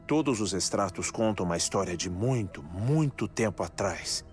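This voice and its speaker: A man performs a scholarly Brazilian scientist from a video game cutscene, eccentric and marked by grief. His voice sits on the low side and he speaks in a measured, reflective way. Soft music plays underneath. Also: expressive, lightly acted. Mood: earnest, explanatory. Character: grieving, eccentric, scholarly male scientist with a failing heart.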